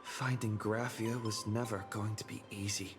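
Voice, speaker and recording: A man voicing a skeptical adventurer in a video game, muttering dry, deadpan asides. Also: fairly low pitch, normal speed, lightly acted. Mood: wry, resigned. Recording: music behind the voice.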